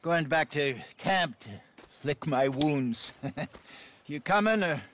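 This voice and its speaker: An older American outlaw in a game cutscene. He sounds genial and folksy, his voice pitched a little high, with faint noise behind. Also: lively intonation, slow pace, a bit bright. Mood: rueful. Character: genial, rascally old outlaw man. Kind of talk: video game cutscene acting.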